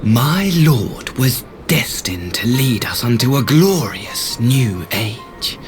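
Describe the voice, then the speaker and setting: An actor playing a smug, fanatical imperial emissary, delivering breathy, theatrical taunts in a video game cutscene. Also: male voice, mid-range voice, big pitch swings, takes it slowly. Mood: goading, reverent. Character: smug, fanatical male imperial emissary devoted to his lord. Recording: music behind the voice.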